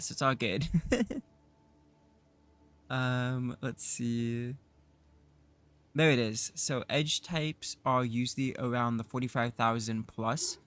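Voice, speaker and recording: A man with a raspy voice, groggy from just waking up, casually chatting through a live gameplay stream and rambling with self-deprecating humor. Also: medium pitch, a bit bright. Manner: rambling, self-deprecating, with frequent fillers and laughs. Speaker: game streamer.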